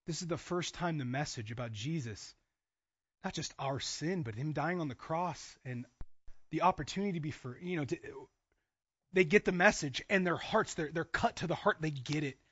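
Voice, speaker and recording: A man's voice: fairly high pitch, normal speed, a bit bright, husky, no echo, muffled recording. A man preaching a sermon to a congregation in an emphatic way, acting out imagined dialogue and repeating phrases for weight.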